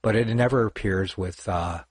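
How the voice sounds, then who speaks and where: A man's voice: on the low side, normal speed, husky, no room sound. An older man teaching Bible interpretation on a livestream in a rambling way, with many fillers and self-corrections.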